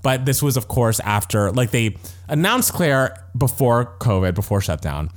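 A young American man co-hosting a casual pop culture chat, retelling things in a gossipy, animated way with acted-out quotes. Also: medium pitch, normal speed, faint music behind. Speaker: podcast co-host.

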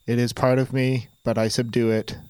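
A man playing a resentful, pompous robot that speaks in a flat monotone with stiff, formal phrasing. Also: mid-range voice, normal speed, clean tone, no echo, close to an everyday voice, poor audio. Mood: subdued anger. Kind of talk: improvised comedy sketch.

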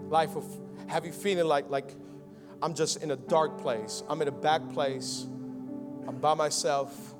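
High-voiced fervent young preacher over music. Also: male voice. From United States (Miami). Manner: rousing preaching, swinging from loud and fervent to quiet and intimate. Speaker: pastor. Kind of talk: Christmas sermon.

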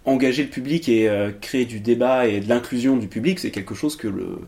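A man's voice: medium pitch, a little fast, gravelly, darkish tone. A young man from England whose first language is English, speaking in a casual interview with many fillers and restarts. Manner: hesitant, many fillers and restarts, laughs easily. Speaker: interview guest, improv actor.

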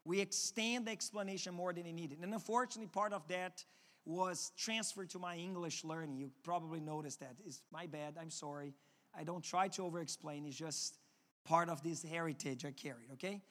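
A man's voice: on the high side, a little fast, a bit bright. A man with a Portuguese accent, a native Portuguese speaker, preaching a sermon earnestly and often restarting his words. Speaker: pastor. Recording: long hall reverb.

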